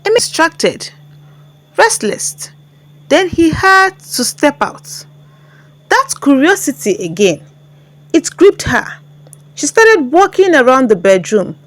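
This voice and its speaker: A woman with a Nigerian accent telling a story in a dramatic scripted narration. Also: high voice.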